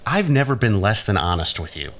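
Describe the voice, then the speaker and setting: A lovelorn, sardonic man in an audio drama. His voice sits fairly low but swings widely in pitch, with a wheedling, theatrical manner. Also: very animated, brisk, fairly bright tone, exaggerated voice.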